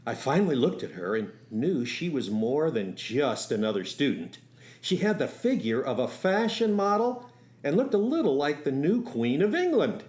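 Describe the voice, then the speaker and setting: A man playing wry, animated young storyteller, lightish voice. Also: fairly high pitch, moderate pace. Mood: wry, nostalgic. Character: young male driving instructor turned soldier, eager, wry, romantic. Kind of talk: first-person radio storytelling with acted dialogue.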